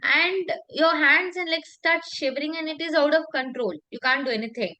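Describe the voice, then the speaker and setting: A woman's voice: high-pitched, moderate pace, pushed and bright, rough recording. A woman with an Indian accent coaching informally in public speaking, emphatic and repetitive, often checking in with "okay?". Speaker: public speaking coach and talk show host. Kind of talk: informal coaching conversation with anecdotes.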